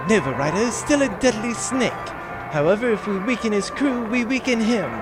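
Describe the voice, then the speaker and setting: A pompous villain of a bounty hunter, acted by a man, speaks in florid, formal phrases. His pitch is highish and swings widely, as in a comic space adventure radio play, with music behind him. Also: lively intonation, fairly quick. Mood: scheming, patient. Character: pompous gentlemanly villain bounty hunter, Sir Reginald Platypus. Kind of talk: comedic sci-fi audio drama acting.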